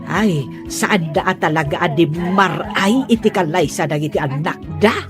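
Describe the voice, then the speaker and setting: A woman's voice: on the low side, unhurried, lightly acted. A woman playing a nosy, prying village gossip in an Ilocano comedy drama. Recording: prominent music under the voice.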